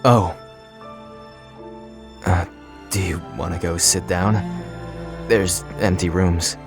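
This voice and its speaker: A man's voice: sits low, steady tone, takes it slowly, gravelly, music in the background, heavily acted. A man playing a casual, sullen young prince who is stubbornly loyal, in an audio drama. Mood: sleepy, awkward. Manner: casual, slangy, incredulous. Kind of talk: audio drama acting and first-person narration.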